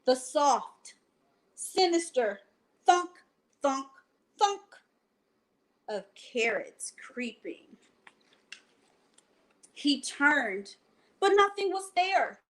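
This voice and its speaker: A woman with a high-pitched voice hosts a children's bedtime storytime. She takes her time, phrasing things deliberately and speaking straight to young listeners as she reads aloud. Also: very animated, a bit bright. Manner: slow, deliberate phrasing, addressing children directly. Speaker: library storytime host and reader. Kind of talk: bedtime picture-book read-aloud for children. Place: at home, indoors.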